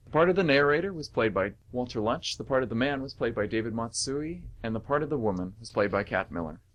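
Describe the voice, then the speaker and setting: A man announces and narrates for a radio theatre show. His mid-pitched voice moves widely in tune as he reads scripted material. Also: expressive, fast, a bit bright.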